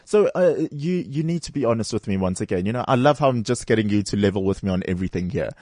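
A man's voice: on the low side, expressive, fast, darkish tone. A man with a mid-range voice hosting a talk show, chatty and playful as he asks friendly questions. His voice sounds close and dry, with no room echo. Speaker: radio talk show host. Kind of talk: casual interview chat.

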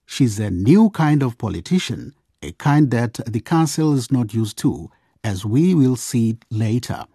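A man narrating a scripted radio drama as an expressive storyteller. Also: medium pitch, measured pace, slightly rough, no echo, clean studio sound.

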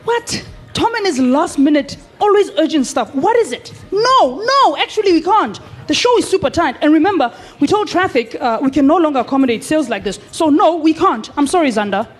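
A brisk radio producer acted by a woman for a radio drama. The voice is high and very lively in pitch, with a firm, bossy edge. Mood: exasperated. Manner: bossy, no-nonsense. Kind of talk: live radio drama acting.

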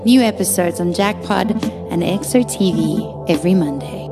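A woman's voice: lowish voice, normal speed, vocal fry. A woman podcast host reading a scripted outro with a cheerful sign-off. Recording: music bed behind the voice.

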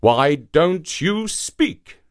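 A friendly, scheming shark from a storybook folktale, played by a man. He coaxes emphatically in a raised pitch with big swings and a slow, deliberate pace. He comes across as gullible and a little anxious. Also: wildly swooping pitch. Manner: coaxing, emphatic.

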